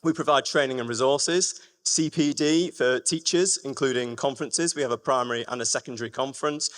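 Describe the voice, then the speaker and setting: A man's voice: medium pitch, brisk, fairly bright tone. A man with a Lancashire accent giving an upbeat, enthusiastic talk at a conference. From England. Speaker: conference speaker. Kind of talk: public talk. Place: conference room. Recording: reverberant hall.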